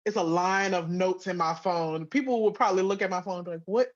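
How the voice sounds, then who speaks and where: A woman's voice: lowish voice, fast talker. Slightly muffled woman chatting as interview guest. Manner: informal, thinking aloud with repeated phrases. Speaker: interview guest, audio drama writer. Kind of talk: casual chat. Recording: slightly dull, lo-fi audio.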